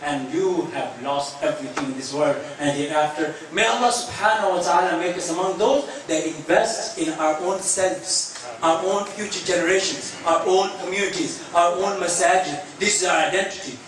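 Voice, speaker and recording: A man delivers an impassioned sermon in a high-pitched voice. He drives his points home with repetition and rhetorical questions. The sound is distant and very echoey, as if picked up from across a large room. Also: normal speed. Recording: very echoey room, distant mic, faint noise.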